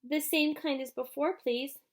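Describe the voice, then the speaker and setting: Lady doing a little shy boy, high and quiet, kinda hesitant. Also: fairly even intonation, unhurried.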